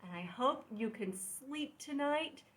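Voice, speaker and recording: Female children's storyteller, higher register, very unhurried, expressive phrasing with deliberate pauses. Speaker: librarian reading a story aloud.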